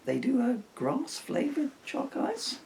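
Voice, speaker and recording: Man reading as a slow, sheepish magic unicorn. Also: very high voice, wildly swooping pitch, gravelly, darkish tone, a little hiss or hum, voice pushed up, full character voice. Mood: hopeful. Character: magic unicorn, sheepish and hopeful children's friend.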